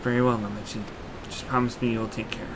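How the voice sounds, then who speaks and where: A man voicing a measured, courteous young male ruler in a video game, speaking formally and with care. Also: mid-range voice, level tone, noisy background, close to an everyday voice. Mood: solemn, grateful. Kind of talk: video game dialogue acting. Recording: game music behind the voice.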